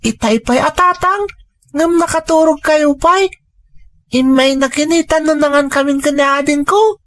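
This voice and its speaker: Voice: sits high, a little slow, fairly bright tone, no room sound, clean studio sound. A performer playing an earnest, respectful young boy, a dutiful grandson, speaking politely with an Ilocano accent in an audio drama. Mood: questioning.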